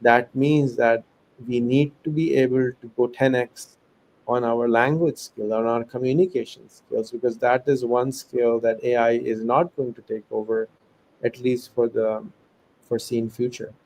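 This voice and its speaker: A man's voice: medium pitch, steady tone, a little slow, a little mellow, low-quality recording. A man with an accent leaning American, earnestly explaining his ideas in a casual interview, listing examples with restarts and fillers. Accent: non-native English, American-leaning. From Pakistan. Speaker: podcast guest, education founder.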